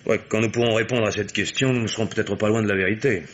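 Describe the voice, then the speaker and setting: Actor as a low-voiced, shrewd investigator, muffled old recording. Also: male voice, fairly even intonation, fast talker, close to an everyday voice. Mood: grave, probing. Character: shrewd, self-assured male investigator helping a fugitive. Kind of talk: old French radio mystery drama acting. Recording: dull, hissy old radio recording.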